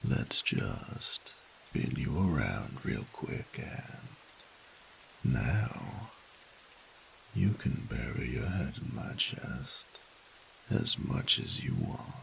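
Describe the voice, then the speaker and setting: A man playing a flirtatious, doting roommate and lover in an intimate ASMR roleplay, with a teasing, folksy drawl and breathy, whispery moments. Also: big pitch swings, extremely slow, a bit bright, a little hiss or hum, exaggerated voice, rough recording. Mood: teasing, tender. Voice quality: very deep, gravelly, breathy whispers.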